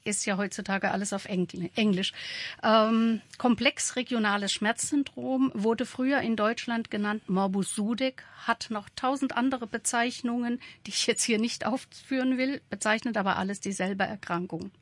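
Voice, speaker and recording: A woman's voice: on the high side, moderate pace, no echo. A woman in her sixties who leads a support group, explaining as a radio guest with frequent hesitations. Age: 60s. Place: radio studio.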